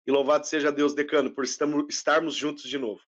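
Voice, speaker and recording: A man's voice: fairly high pitch, steady tone, fast. Effusive male co-host, muffled audio. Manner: effusive, full of religious exclamations. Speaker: podcast co-host. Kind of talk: casual chat.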